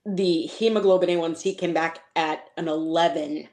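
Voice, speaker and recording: A woman in her forties from Boston with a faint, mostly hidden Boston accent, telling her story as an interview guest, animated and full of fillers. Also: deep voice, moderate pace. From Boston, Massachusetts.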